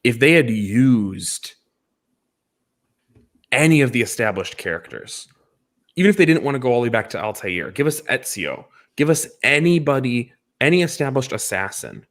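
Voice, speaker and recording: A man's voice: mid-range voice, very animated, normal speed. A man co-hosting a casual film discussion, giving emphatic opinions and repeating points for stress. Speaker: podcast co-host reviewing films.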